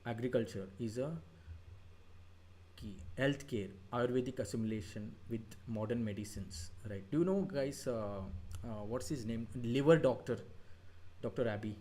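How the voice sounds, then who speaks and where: An Indian-accented man leads an online coaching class at a steady middle pitch. He talks easily and haltingly, filling pauses with "uh" and slipping into Hindi. He hands out quick bits of praise as he goes through the answers. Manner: relaxed, hesitant, many fillers, mixing in Hindi; brief praise of students' work. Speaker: exam coaching teacher.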